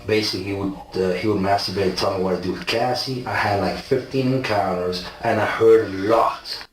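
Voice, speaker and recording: Halting South African man, echoey distant room. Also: fairly low pitch, fairly even intonation, a little hiss or hum. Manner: halting, repeats "okay?" after claims. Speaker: interviewee in archive footage. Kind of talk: interrogation interview.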